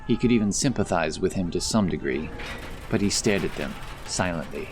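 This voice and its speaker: A man's voice: sits low, animated, moderate pace. A man narrating a scripted fantasy story as the storyteller of an audio drama.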